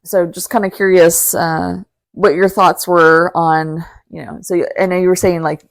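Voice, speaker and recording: A woman's voice: on the low side, level tone, moderate pace, vocal fry. A woman co-hosting a podcast in a casual discussion. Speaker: podcast co-host.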